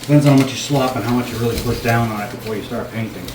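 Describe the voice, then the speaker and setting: A man giving a relaxed, joking tour of a renovated bathroom, with many fillers. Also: medium pitch, racing, background noise. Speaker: co-host renovating a house. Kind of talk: casual chat and house tour. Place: old house under renovation, indoors.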